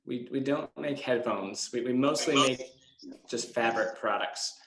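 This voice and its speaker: A man's voice: mid-range voice, measured pace, gravelly, fairly bright tone. A man speaking casually as a guest answering children's questions, in a plain, hesitant, self-deprecating way. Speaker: guest speaker from an eco products company. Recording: echoey room sound.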